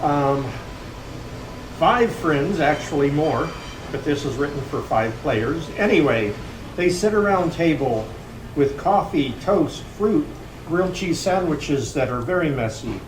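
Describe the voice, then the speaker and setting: A man's voice: fairly high pitch, animated, a little slow. A man informally introducing and narrating a readers theater play. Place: room at a campus event. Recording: roomy, distant mic, clear background noise.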